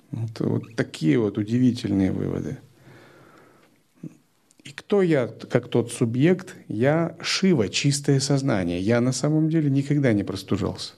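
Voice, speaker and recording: Gravelly-voiced male spiritual teacher. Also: medium pitch. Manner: calm, methodical explaining. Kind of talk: spiritual lecture.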